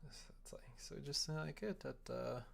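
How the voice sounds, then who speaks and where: Casual livestream host, mid-low fry-laden voice, thinking out loud and trailing off, typing audible behind. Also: moderate pace. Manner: muttering, trailing off mid-thought. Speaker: livestream host coding a visual novel. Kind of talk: casual thinking aloud while working. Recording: noisy room, keyboard typing and clicks. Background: keyboard typing, mouse clicks.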